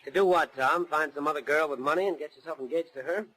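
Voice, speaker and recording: Callous rich son, greedy and smug, slightly high pitch, flippant sneer, old-time 1940s radio drama. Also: male voice, quick pace, sharp tone, poor audio.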